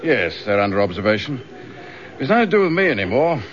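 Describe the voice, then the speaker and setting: An actor playing a breezy, good-natured, gossipy English police officer in a radio detective drama. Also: male voice, medium pitch, sing-song, fast, slight background noise, close to an everyday voice. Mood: casual, gossipy. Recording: dull lo-fi radio drama recording.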